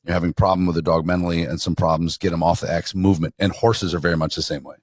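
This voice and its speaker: Very deep-voiced man hosting a podcast. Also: flat delivery, racing, no echo, studio quality. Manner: conversational, loose rambling questions. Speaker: podcast co-host. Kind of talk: casual podcast interview.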